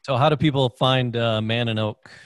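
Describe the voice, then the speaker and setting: A laid-back middle-aged male host chats casually into a studio microphone from his home office. His voice sits at a middle pitch and sounds dry and close, with no room around it. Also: fairly even intonation, normal speed, dry recording. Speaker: podcast host. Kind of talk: casual talk show hosting. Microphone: studio microphone with headphones.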